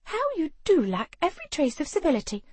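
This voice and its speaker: A woman's voice: high-pitched, wildly swooping pitch, a little fast, a little mellow, no echo, voice pushed up. A woman voicing a disdainful young noblewoman who speaks with cool mockery in an audiobook. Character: haughty, mocking young noble lady.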